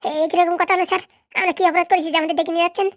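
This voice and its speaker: A woman's voice: extremely high pitch, flat delivery, very fast, no room sound, studio quality. A woman voicing a timid, flustered simple villager in a comedy cartoon, stammering and pleading. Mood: nervous pleading. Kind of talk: Bengali comedy cartoon dialogue.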